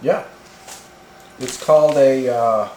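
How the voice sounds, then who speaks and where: The voice is a man's, medium in pitch, recorded in an echoey room with faint noise behind it. He hosts a casual product demo without a script. His talk is halting and rambles along in a folksy way. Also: warm tone. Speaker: video host reviewing radio gear. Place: indoors in a home kitchen.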